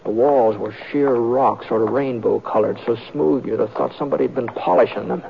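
Actor as gravelly, folksy Old West lawman. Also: medium pitch, fairly even intonation, brisk, close to an everyday voice. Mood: steady. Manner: hesitant, folksy drawling delivery with murmured asides. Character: easygoing seasoned Old West lawman and tracker, unhurried and folksy. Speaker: lead actor and narrator of the story. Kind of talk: 1940s-50s radio western acting and narration. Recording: noisy, muffled old radio recording with clicks.

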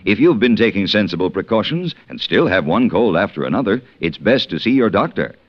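Low, animated male radio announcer. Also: a little fast, background noise. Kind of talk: scripted public health announcement. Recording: dull vintage radio recording.

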